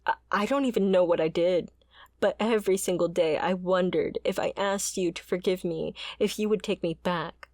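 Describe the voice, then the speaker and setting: A woman's voice: on the low side, moderate pace, a little mellow, no room sound, studio quality, close to an everyday voice. A woman playing a fiery, bitter mercenary and wounded former bodyguard in a confrontational, sardonic audio roleplay. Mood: pained, insistent. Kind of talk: dramatic ASMR roleplay acting.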